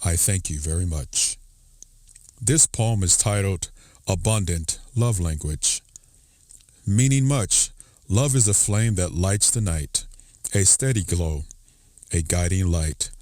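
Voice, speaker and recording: A man with a low baritone voice, reading poems aloud in a measured, soothing voiceover. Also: a little mellow, no room sound, clean studio sound. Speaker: voiceover poetry reader. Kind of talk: slow dramatic poetry reading.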